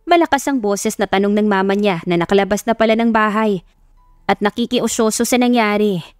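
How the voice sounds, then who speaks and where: A woman's voice: mid-range voice, fairly quick, clean tone, clean studio sound. A woman narrator reading a romance story in a dramatic scripted style. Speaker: audiobook narrator. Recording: roomy echo, music bed behind.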